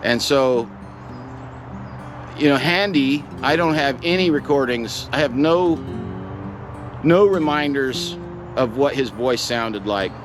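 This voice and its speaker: Middle-aged male vlogger, mid-range voice, reflective and plain-spoken personal storytelling over clearly audible music. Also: medium pitch, expressive, slow pace, pauses often.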